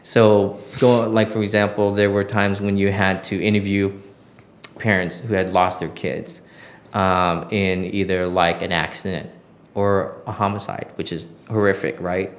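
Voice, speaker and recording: Middle-aged man, American accent, low pitch, hesitant and reflective, conversational storytelling. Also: flat delivery, measured pace. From Southern California. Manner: hesitant, reflective, searching for words. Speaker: interview guest, former journalist.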